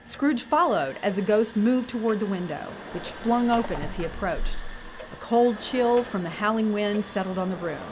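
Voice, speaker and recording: A woman's voice: medium pitch, animated, normal speed, noisy background. A woman giving scripted storytelling narration as the narrator of an audio theater piece.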